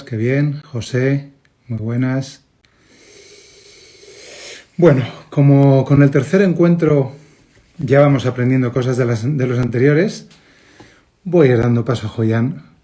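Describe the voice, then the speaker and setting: A man hosting an online conversation over a video call, relaxed and friendly. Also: mid-range voice. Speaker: host.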